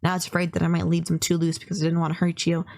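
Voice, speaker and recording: A woman's voice: on the low side, completely flat delivery, racing, creaky, a little mellow. A woman playing a polite, gushing young female kidnapper in an intimate anime-style roleplay, earnest, apologetic and rambling, obsessively in love. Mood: proud, welcoming.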